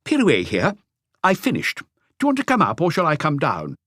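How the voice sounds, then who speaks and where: Guy doing an eager precise expert man for an audiobook, pitched way up, kinda excitable. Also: fairly quick, husky, no room sound, studio quality, heavily acted. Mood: brisk.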